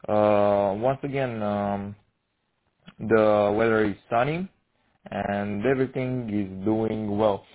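A teenage Romanian man, Romanian being his first language, talks over amateur radio and keeps stopping to hunt for words. His low, flat voice comes through narrow and tinny, like a radio link. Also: takes it slowly. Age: teens. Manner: hesitant, searching for words, many fillers. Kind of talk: casual radio chat.

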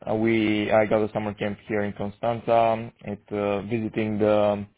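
A teenage Romanian man, Romanian being his first language, talks over amateur radio and keeps stopping to hunt for words. His low, flat voice comes through narrow and tinny, like a radio link. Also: moderate pace. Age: teens. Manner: hesitant, searching for words, many fillers. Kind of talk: casual radio chat.

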